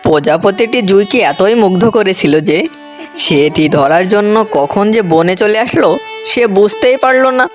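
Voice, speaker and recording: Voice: mid-range voice, animated, moderate pace, soft background music. A narrator telling a children's fairy tale in scripted storybook style.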